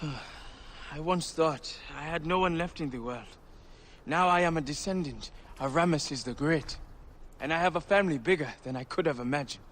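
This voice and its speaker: An actor playing a proud, warm-hearted and stubborn ancient Egyptian ally in a video game, speaking earnestly and deliberately. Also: male voice, fairly high pitch, slight background noise, close to an everyday voice. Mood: reflective, grateful. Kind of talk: dramatic video game acting.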